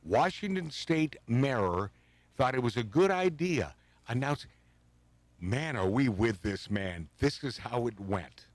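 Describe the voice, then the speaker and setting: A man hosting talk radio in a lively, swooping voice that jumps up and down as he gets worked up. He mocks and swears freely in a combative way. The sound is dry and close, with little room around it. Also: medium pitch, takes it slowly, dry recording. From Pacific Northwest of the US. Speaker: radio show host. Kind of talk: casual talk radio banter.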